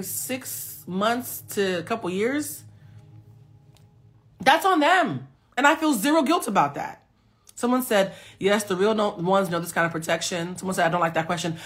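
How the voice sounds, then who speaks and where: A woman's voice: medium pitch, fairly bright tone. A woman in her forties hosting a casual live stream, talking emphatically to viewers and reading out their comments. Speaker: host of a live stream and self-mastery coach. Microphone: phone.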